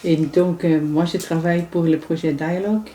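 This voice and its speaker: A Dutch-native woman asks interview questions in a soft, lowish, mellow voice. The recording is roomy and she sits some way from the microphone. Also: fairly even intonation, moderate pace, slight background noise. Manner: soft, brief backchannels. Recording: roomy sound from far off.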